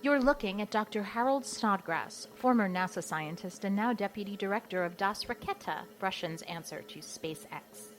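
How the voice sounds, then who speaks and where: A woman playing a brisk section chief at a spy agency, curt and authoritative, in an audio comedy drama. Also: mid-range voice, lively intonation, natural voice. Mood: briefing, grave.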